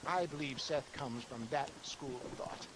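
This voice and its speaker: A man's voice: mid-range voice, a little slow, lightly acted. An actor playing a jovial, pompous snake-oil salesman with wordy, theatrical speech in a Western game. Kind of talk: video game cutscene acting. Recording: clear outdoor noise.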